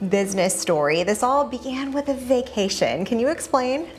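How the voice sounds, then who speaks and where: A woman's voice: a little high, animated, normal speed. A woman hosting a local TV feature, asking guests friendly, upbeat questions. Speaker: show host and interviewer.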